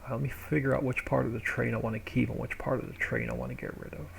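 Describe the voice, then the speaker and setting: A man's voice: mid-range voice, fast talker, creaky, slight background noise. A man live-streaming, casually thinking aloud as he works through a game map build. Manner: thinks aloud while working, relaxed and rambling.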